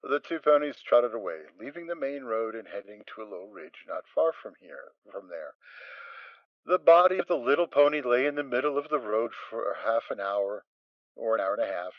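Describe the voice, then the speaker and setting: A man narrating a fan audio drama, reading with expressive storytelling. The audio is dry and slightly dull. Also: medium pitch, moderate pace, no room sound.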